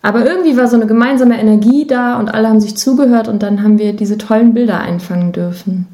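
A woman's voice: a little high, quick pace, warm tone. A woman speaking casually as a musician guest in an interview.

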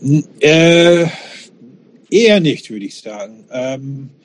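Lively higher-voiced man, non-native German speaker. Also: slow pace, no room sound. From Switzerland, born in Czechoslovakia. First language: not German. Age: 50s. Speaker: interview guest. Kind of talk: casual reminiscing conversation.

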